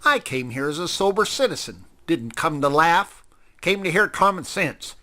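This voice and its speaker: A man's voice: fairly high pitch, lively intonation, moderate pace, pushed and bright, pitched above the performer's own voice. A man voicing a grumpy, sarcastic old male owl with a grumbling manner. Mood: scornful. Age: older.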